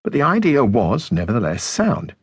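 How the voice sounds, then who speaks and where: A man narrating a nonfiction audiobook in a measured, deliberate way, with emphatic pauses between phrases. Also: mid-range voice, huge pitch swings, husky, no room sound. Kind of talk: scripted audiobook narration of popular science.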